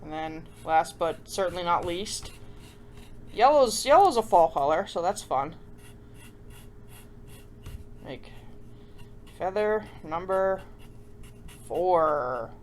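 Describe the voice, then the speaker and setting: A woman's voice: lowish voice, lively intonation, slow pace, long pauses, darkish tone, slight background noise. A woman hosting a children's craft tutorial in a casual, chatty way, with playful self-deprecating asides and frequent check-in questions to viewers. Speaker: host of a children's craft show.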